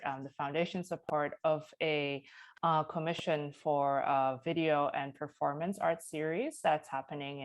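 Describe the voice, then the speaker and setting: A woman from Hong Kong, an independent curator, introducing herself on a video call in a friendly way, with hesitant ums. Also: deep voice. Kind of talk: casual video-call conversation.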